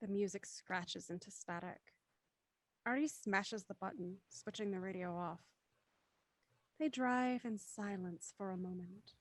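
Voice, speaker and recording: A woman reading scripted stage directions for an audio drama in a crisp, matter-of-fact way. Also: mid-range voice, unhurried, dry recording. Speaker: narrator.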